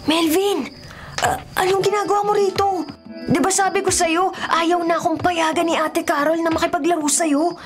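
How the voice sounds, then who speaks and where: A woman's voice: very high-pitched, fairly even intonation, moderate pace. A woman playing an anxious, earnest young boy in a Filipino radio drama, polite and easily frightened, over music. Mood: worried. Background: background music.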